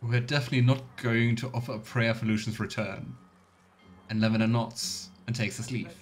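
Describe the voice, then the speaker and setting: A man's voice: mid-range voice, level tone, a little fast. A man giving casual, chatty let's play commentary with playful sarcasm. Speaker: livestreamer playing a video game.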